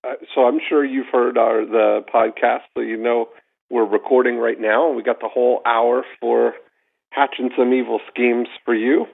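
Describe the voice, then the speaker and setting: A gravelly-voiced man hosts a podcast interview over a call, speaking in an easygoing, reassuring way. His pitch is middling. The sound comes through thin and tinny, like a phone line. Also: fairly even intonation, normal speed. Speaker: podcast host.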